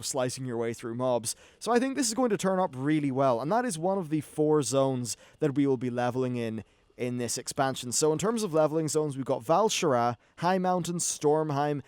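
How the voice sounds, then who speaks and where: A man's voice: on the high side, darkish tone, no room sound. A man giving casual, off-the-cuff video game commentary as host, chatty and wry, with some swearing.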